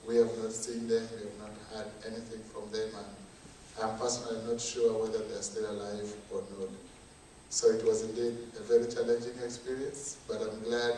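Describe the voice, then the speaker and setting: A young Ugandan man from northern Uganda giving a measured, earnest personal testimony as a conference speaker. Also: lowish voice, mellow, a little hiss or hum. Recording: echoey hall from far off.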